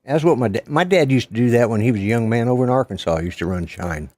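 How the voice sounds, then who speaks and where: A man's voice: mid-range voice, expressive, quick pace, a little mellow, no echo. An older man with an Oklahoma twang, talking in a folksy, blunt way. His voice sits in a middle register and sounds dry and close, with no room around it. He swears casually as he chats. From Colorado. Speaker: livestream participant. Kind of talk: casual chat.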